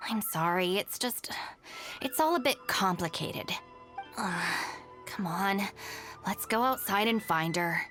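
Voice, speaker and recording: A dutiful young woman in a video game, reserved and a little weary. She speaks at a middle pitch in a restrained, polite, matter-of-fact way, with faint music behind her. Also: animated, slow. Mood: resigned, awkward. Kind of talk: English video game voice acting.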